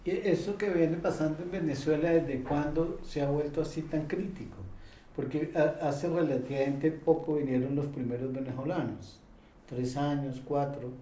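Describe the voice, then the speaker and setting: Hesitant man asking questions, mellow voice. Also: a little high, fairly even intonation, moderate pace, roomy sound, far from the mic, a little hiss or hum, low-quality recording. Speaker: interviewer. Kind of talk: interview.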